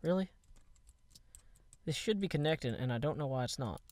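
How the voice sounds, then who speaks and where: A man's voice: fairly high pitch, lively intonation, normal speed, a little mellow, dry recording. A man chatting casually while playing a building game, thinking aloud with many fillers and restarts. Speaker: game player. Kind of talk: casual gameplay chat.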